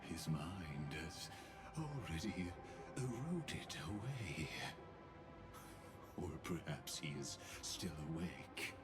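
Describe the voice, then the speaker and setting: A man's voice: low-pitched, sing-song, slow pace, heavily acted. A man playing an ancient, theatrical, sinister schemer in a video game, with whispery, grandiose delivery. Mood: measured. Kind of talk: video game dramatic voice acting. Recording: music behind the voice.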